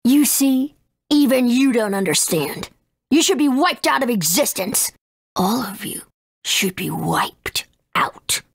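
A woman's voice: on the high side, lively intonation, slow, husky, dry recording, studio quality, exaggerated voice. A woman playing a haughty, bitter ruler of darkness in a video game, speaking in an imperious, theatrical way.

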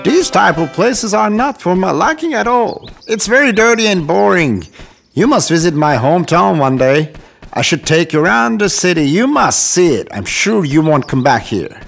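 Snobbish storybook city mouse, male actor, theatrical and lively with vocal fry, over music. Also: high voice, normal speed, exaggerated voice. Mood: disdainful. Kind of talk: children's fairy tale dub.

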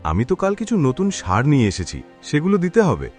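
An actor playing a friendly, hardworking village farmer in a cartoon. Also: male voice, mid-range voice, fast, faint music behind. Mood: cheerful. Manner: friendly, animated. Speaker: farmer Faisal. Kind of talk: cartoon voice acting.

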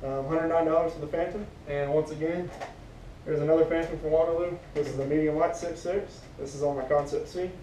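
A man's voice: fairly even intonation, fairly quick, dark tone. A young American man in casual review chat as a co-host, picked up by a camera across a living room. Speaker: co-host of fishing gear reviews. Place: living room at home, indoors. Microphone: camera a few metres away. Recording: distant roomy camera audio, rumbly background noise.

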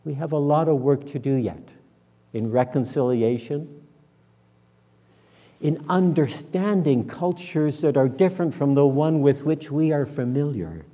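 Slow, mellow older minister, reverberant church. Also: male voice, fairly high pitch. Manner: measured, solemn, deliberate phrasing. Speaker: minister leading worship. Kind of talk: sermon and public prayer. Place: church sanctuary, at the front and pulpit.

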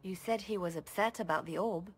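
An actress voicing a composed, loyal female spymaster in a video game cutscene. She speaks in a measured, formal way with a dry touch of amusement. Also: medium pitch, faint music behind, lightly acted. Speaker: spymaster advising the Inquisitor.

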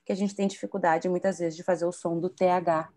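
A woman's voice: lowish voice, flat delivery, a little fast, darkish tone. A woman from southern Brazil, an educator and author, explaining bilingualism on a video call and often adding tag questions. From southern Brazil (Rio Grande do Sul).

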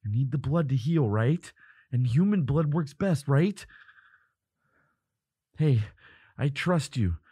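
A devoted, fiercely protective young lover, acted by a man in a dramatic audio roleplay. He speaks slowly and emotively, in a husky voice that moves widely in pitch. His delivery halts and breaks with feeling. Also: expressive, dark tone, no room sound. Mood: frantic, pleading. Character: devoted boyfriend of a vampire, protective, frantic, defiant.

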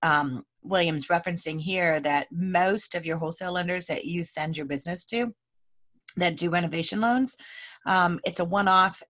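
A woman's voice: lowish voice, a bit bright. A woman with an American accent hosting an informal training webinar in a conversational, emphatic way.

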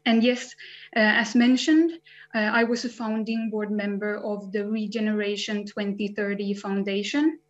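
A young woman in her twenties from Finland, a youth activist, talking in a friendly, slightly halting way on a video call. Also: medium pitch, little pitch movement. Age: 20s. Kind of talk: webinar talk. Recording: muffled video call audio.

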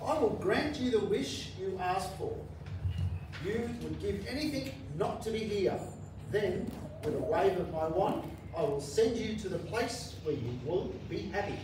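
A man's voice: high-pitched, expressive, unhurried. A man playing a self-important, unhelpful good fairy in an amateur stage pantomime, declaiming grandly. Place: care home common room, indoors, before an audience. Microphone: far camera. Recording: echoey hall, distant microphone, clear room noise.

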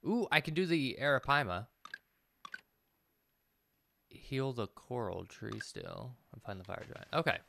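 A man in his thirties with a bright, forward voice talks his way through a video game. He thinks aloud in a casual, musing way, as if muttering to himself. There is faint noise and clicking behind him, and the mic is close. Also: mid-range voice, lively intonation, a little slow, gravelly, pauses often. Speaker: gaming video host. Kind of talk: casual let's play commentary, thinking aloud.

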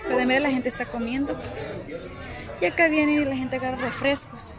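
A woman narrating a casual walking vlog outdoors, pointing out items in short, unhurried phrases into a handheld camera. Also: high voice, fairly even intonation. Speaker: vlogger. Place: outdoor swap meet, walking among stalls. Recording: loud crowd and music behind voice. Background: market crowd and music.

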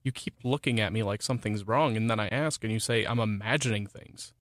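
Actress as very low-voiced, earnest spouse. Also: female voice, a little fast, no echo, clean studio sound. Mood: concerned. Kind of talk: radio play acting, domestic dialogue.

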